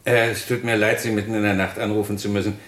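An actor playing a courteous but sceptical male police inspector in a radio crime drama, speaking in a formal, deliberate, politely probing manner. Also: on the low side, flat delivery, a bit bright, lightly acted.

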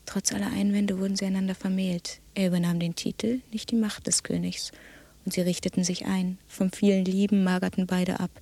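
Woman acting solemn fairytale teller in radio play, hushed breathy creaky voice, even and detached. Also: medium pitch, natural voice. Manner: measured, detached storytelling. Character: subdued, solemn woman telling a dark fairy tale. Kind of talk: literary storytelling in German radio drama.

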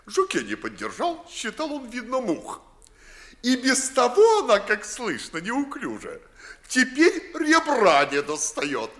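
A man playing a witty, biting young gentleman, declaiming mockingly in verse in a 1950s radio play. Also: very high-pitched, wildly swooping pitch, a little slow, full character voice.

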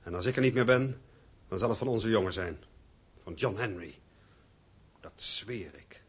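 A man's voice: lowish voice, lively intonation, moderate pace, breathy edge. An actor playing a gloomy, stubborn, resentful man in a radio play, speaking with brooding emphasis. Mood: bitter, resolute. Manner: brooding, heavy-hearted, emphatic. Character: gloomy, resentful, stubborn estranged son and father.

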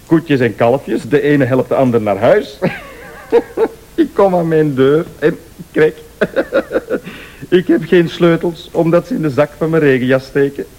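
Slow, swooping, tipsy visitor. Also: male voice, a little high, sing-song, darkish tone, rough recording. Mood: jovial, rambling. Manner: rambling, chuckling, exclamatory. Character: jovial, garrulous, tipsy late-night male visitor. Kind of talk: radio thriller acting.

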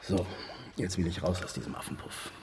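Gravelly, cynical smuggler boss. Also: male voice, deep voice, a little fast. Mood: smug, commanding. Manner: mocking, condescending, chuckling. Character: cynical, menacing male smuggler boss, smugly in control. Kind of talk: radio drama acting. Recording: echoey reverberant room with background noise.

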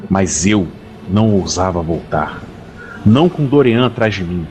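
A man playing a grave, weary warrior captain who narrates his expedition in an audio drama, solemn and measured. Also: low-pitched, lively intonation, a little mellow, slight background noise. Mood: grave, ominous. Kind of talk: dramatic first-person scripted narration. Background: faint music.

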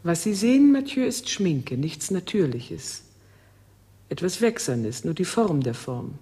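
An actress playing an enigmatic, commanding seductress in a radio drama, husky and deliberate. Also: female voice, fairly low pitch, very animated, dark tone, heavily acted. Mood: cool, enigmatic. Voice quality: husky, breathy. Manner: slow, deliberate, theatrical. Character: enigmatic seductive woman, coolly commanding, melancholy. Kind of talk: German radio drama acting.

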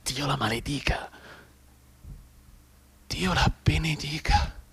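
Husky, desperate bedridden man. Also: fairly high pitch, fairly bright tone, exaggerated voice. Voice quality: husky, breathy, often dropping to a whisper. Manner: halting, repetitive, emotionally volatile. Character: desperate bedridden mute soldier, thinking in anguished monologue. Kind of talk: intense theatrical radio drama monologue.

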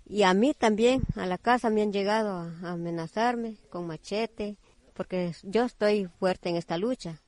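Real-voice testimony: woman activist, medium pitch, plain-spoken and emphatic, dry recording. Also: moderate pace, a little mellow, dry recording.

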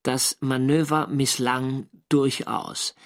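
An actor playing a wry First World War sailor narrating his war diary in measured, clearly articulated German radio drama style. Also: medium pitch, steady tone. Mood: dry recounting.

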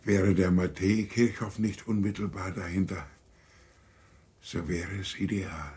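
An actor playing a courteous, effusive gentleman with a formal, gallant manner in a German radio drama. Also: deep voice, fairly even intonation, unhurried, breathy edge, heavily acted. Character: courteous, effusive gentleman proudly showing off his view.

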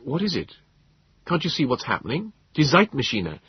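A man voicing an excitable, indignant gentleman adventurer in an audiobook, exclaiming and incredulous. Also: medium pitch, moderate pace, breathy edge, dry recording, muffled recording, voice pushed up, heavily acted. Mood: alarmed, indignant. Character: excitable, indignant gentleman adventurer telling his own tale.

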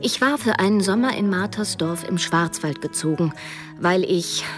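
An actress playing a youngish novelist who narrates a radio drama in a measured, wry, literary way. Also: female voice, lowish voice, animated, over music, lightly acted. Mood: reflective, wry. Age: young adult. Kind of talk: scripted first-person radio drama narration.

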